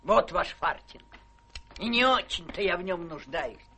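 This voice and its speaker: An actress playing a sour old female servant in a radio play, muttering and grudging in a creaky voice. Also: fairly low pitch, expressive, unhurried, background noise. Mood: grumbling. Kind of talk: theatrical radio play acting.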